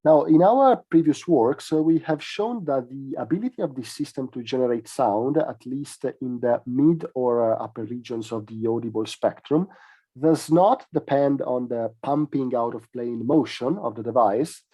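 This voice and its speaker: A man giving a technical conference presentation as a researcher, explaining in a measured way with hesitant pauses mid-phrase. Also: medium pitch, mellow, breathy edge.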